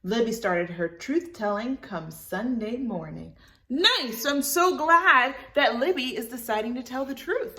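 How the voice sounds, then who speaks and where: A woman reads a children's storybook aloud expressively, stopping for animated asides to her young listeners. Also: medium pitch, reverberant. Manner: animated, addresses listeners as friends.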